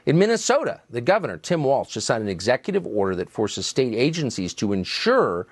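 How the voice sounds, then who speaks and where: A man's voice: mid-range voice, very animated, fairly quick, dry recording. A man narrating a political ad with an emphatic, alarmed delivery.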